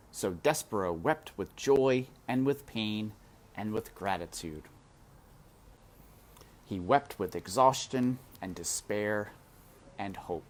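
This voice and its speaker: A man narrates a storybook in a fairly low voice. His delivery is very unhurried and expressive, rising and falling widely as he speaks straight to the listener with deliberate drama. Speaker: read-aloud narrator. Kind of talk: expressive storybook read-aloud.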